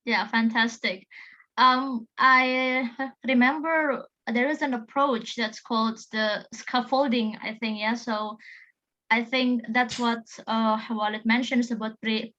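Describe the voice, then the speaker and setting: A fairly high-voiced woman with Indonesian as her first language speaks in English and agrees often with the others. She fills her speech with "yeah" and "mm-hmm." Her audio comes through a dull, lo-fi online call. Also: steady tone, measured pace, sharp tone. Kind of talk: online panel discussion.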